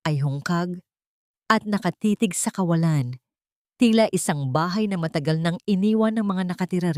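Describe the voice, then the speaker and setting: A woman's voice: fairly low pitch, a little mellow, no echo, studio quality. A woman reading dramatic scripted narration as the storyteller of an audio drama.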